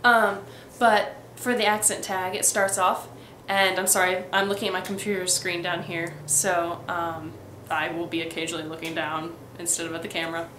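A woman's voice: fairly low pitch, flat delivery, normal speed, few pauses, a bit bright, roomy sound, a little hiss or hum, low-quality recording. Chatty young woman with a light Midwestern accent. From Texas and Missouri, US. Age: young adult. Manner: chatty, many ums and restarts. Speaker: vlogger. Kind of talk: casual accent tag vlog. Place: bedroom, indoors.

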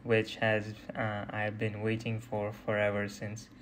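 A young man giving casual gameplay commentary in a hesitant, halting way. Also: fairly low pitch, monotone, a little hiss or hum. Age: young adult. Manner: hesitant, halting delivery with trailing phrases. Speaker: gaming video creator. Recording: loud music and game audio behind. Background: loud music.

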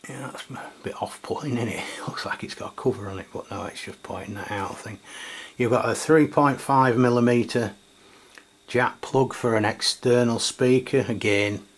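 A man's voice: mid-range voice, normal speed. A middle-aged man giving a casual, unscripted product demonstration, thinking aloud in a tentative way. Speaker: radio product reviewer. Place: indoors at a tabletop. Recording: clear background noise, room ambience.

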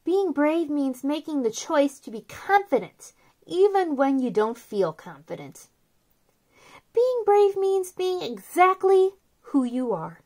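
A woman in her thirties speaking to young children as a story time host, with an expressive, simple delivery. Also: high voice, takes it slowly. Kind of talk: children's read-aloud and chat to young viewers.

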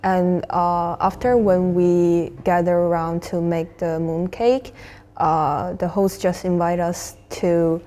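Young woman from sweden chatting on a panel, mellow lowish voice, bit echoey. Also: level tone, takes it slowly. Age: early 20s. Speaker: student guest. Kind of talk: casual panel chat. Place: large indoor cafe-like room. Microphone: lapel.

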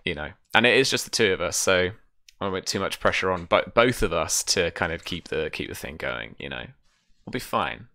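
A man's voice: sits low, expressive, fairly quick, creaky, sharp tone, dry recording, studio quality. A British man in his thirties chatting informally as he explains a tabletop game on a livestream, easygoing and reassuring. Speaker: game master and streamer. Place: small room at home, on a video call.

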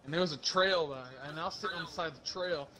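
Young male gamer, fairly high voice, animated slangy storyteller, crowd noise behind. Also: quick pace, low-quality recording. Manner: animated, slangy, acts out dialogue. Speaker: gaming video host telling stories. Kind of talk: casual storytelling among friends during online game.

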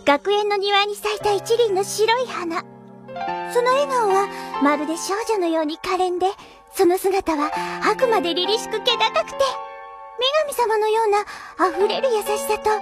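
A woman's voice: very high-pitched, unhurried, over music. A woman voicing a cheerful, self-admiring schoolgirl who narrates a cartoon, playful and gushing. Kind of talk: anime narration.

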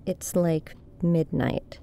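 A woman's voice: fairly low pitch, little pitch movement, drawn-out words, vocal fry, mellow, full character voice. An actress playing a guarded, brooding young woman, a daughter in a naturalistic horror audio drama. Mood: guarded, skeptical. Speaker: voice actor.

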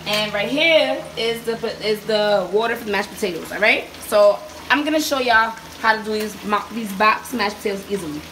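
A woman's voice: mid-range voice, moderate pace, few pauses. Young woman, casual slangy cooking vlog, phone mic, kitchen, sizzling oil behind. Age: young adult. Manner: slangy, chatty, talks straight to viewers. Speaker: cooking vlogger. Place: home kitchen, indoors. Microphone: handheld phone camera. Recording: sizzling pan noise behind. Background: oil frying and sizzling.

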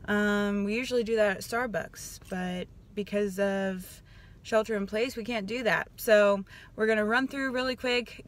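A woman's voice: medium pitch, a bit bright. A woman, chatting informally to camera as a vlogger in a car. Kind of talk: casual vlog chat to camera. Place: inside a car. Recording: faint car and ambient noise.

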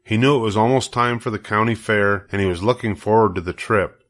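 A man narrating a children's story in a measured, deliberate way. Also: lowish voice, fairly bright tone. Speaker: storybook reader.

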